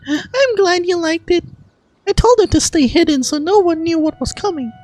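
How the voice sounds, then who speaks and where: A performer voicing a gentle, wise, childlike girl deity from a fantasy game, soft-spoken and earnest. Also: very high-pitched, lively intonation, normal speed, pitched above the performer's own voice. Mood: pleased.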